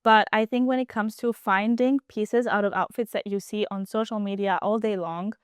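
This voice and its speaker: A woman's voice: mid-range voice, steady tone, moderate pace, clean tone, dry recording, studio quality. A woman in her twenties from Cyprus, an app founder chatting casually as an interview guest and laughing easily. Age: 20s.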